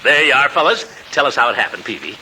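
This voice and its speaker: A man acting a jovial, excitable, romantic-minded fellow in a 1940s radio comedy, blustery and chuckling. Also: mid-range voice, fast. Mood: jovial, eager. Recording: very noisy, crackly old radio recording.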